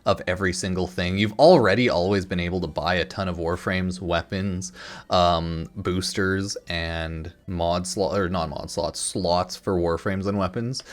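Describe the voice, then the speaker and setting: A man doing casual, off-the-cuff news commentary as a gaming video creator, rambling and opinionated in slangy speech. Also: low-pitched. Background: music.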